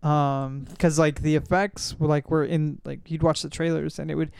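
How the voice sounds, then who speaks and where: A young man with a smooth, slightly high voice chats casually as a podcast host. He rambles through stories with plenty of filler words, recorded close and dry. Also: level tone, moderate pace, darkish tone, no room sound. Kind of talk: casual pop-culture chat.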